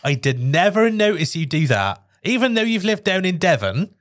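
A man's voice: high-pitched, moderate pace. A man hosting a casual podcast, moving between topics and laughing easily with his guests. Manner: steers topics, laughs often, expressive.

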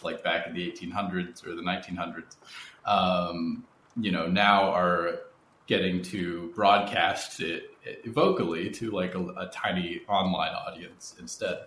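Low-voiced male interviewer in echoey room. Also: fairly bright tone. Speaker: podcast host, interviewer. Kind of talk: conversational interview. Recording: roomy echo, faint noise.

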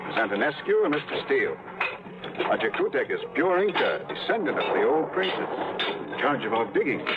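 A man's voice: medium pitch, big pitch swings, brisk, natural voice. A man playing a fussy, courteous archaeologist. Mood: formal. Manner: courteous, slightly flustered formality. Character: polite male archaeologist, fussy and formal. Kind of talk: 1950s radio drama acting. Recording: muffled old radio broadcast with music.

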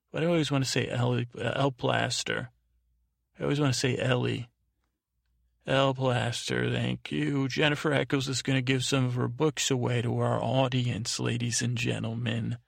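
A man hosting a bedtime podcast in a meandering, halting monologue, repeating words and drifting off on tangents. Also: mid-range voice, gravelly, no room sound, studio quality. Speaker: podcast host. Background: music.

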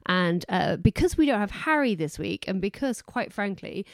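A woman's voice: mid-range voice, sing-song, normal speed, creaky, no room sound, clean studio sound. A woman hosting a podcast from home, chatty and self-deprecating, who is losing her voice. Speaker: podcast host. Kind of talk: casual podcast chat.

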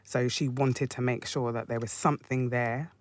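A young man from London talks reflectively in a casual group discussion. His voice is soft-spoken and sits at an ordinary middle pitch. The recording is dry and close. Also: steady tone, normal speed, no echo. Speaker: panel guest.

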